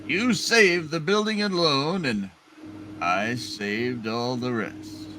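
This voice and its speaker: Man playing a slow, scheming old tycoon. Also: medium pitch, sharp tone, a little hiss or hum, low-quality recording. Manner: pompous, deliberate, wheedling. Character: Mister Potter, scheming old male small-town tycoon. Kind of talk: hammed-up live radio play reading.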